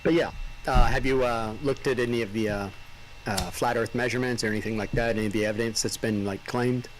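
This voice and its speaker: A man in his forties hosting a casual chat, answering with quick, easygoing affirmations. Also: medium pitch, steady tone, a little hiss or hum, low-quality recording. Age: 40s. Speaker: host.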